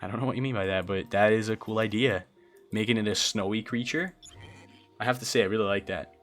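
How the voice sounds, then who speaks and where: A man's voice: on the low side, steady tone, fast, soft background music. A young man from Brazil, a streamer chatting casually and thinking aloud as he answers viewers, often stammering and restarting. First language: Portuguese. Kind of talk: casual livestream chat while building a game creature.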